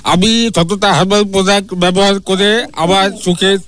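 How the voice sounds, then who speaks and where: A man's voice: sits high, fairly even intonation, moderate pace, fairly bright tone. A man playing a boastful 75-year-old customer who gives a bragging testimonial for a herbal remedy. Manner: hesitant start, then bragging sales testimonial.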